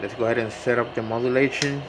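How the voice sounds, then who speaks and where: A man's voice: medium pitch, steady tone, fast talker. Man giving hands-on tutorial over background noise. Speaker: radio repair hobbyist demonstrating. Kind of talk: informal hands-on tutorial narration.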